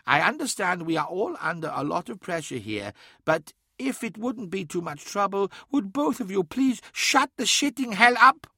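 A man's voice: sits high, sing-song, normal speed, pushed and bright, breathy edge, no room sound, studio quality, voice pushed up, full character voice. A man voicing an elderly, dignified male scholar who speaks with formal, reproachful politeness in an audiobook. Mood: exasperated.

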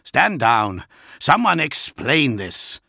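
A man voicing a proud, earnest knightly commander in an audiobook, speaking with measured, insistent intensity. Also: mid-range voice, big pitch swings, creaky, pushed and bright, no room sound, clean studio sound, voice pushed up, heavily acted. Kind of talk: audiobook character acting.

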